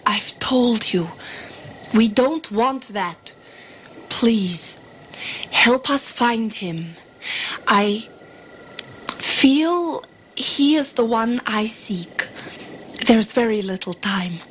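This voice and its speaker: A woman's voice: on the high side, animated, drawn-out words, pauses often, a little hiss or hum, low-quality recording. A woman playing a level-headed, peaceable explorer in a sci-fi audio drama, speaking formally and with measure. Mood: earnest pleading.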